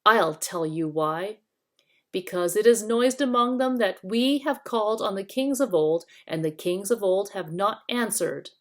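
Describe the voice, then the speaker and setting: A woman's voice: mid-range voice, unhurried, natural voice. A woman voicing a loyal, patient male badger with an earnest, stern manner in a storybook.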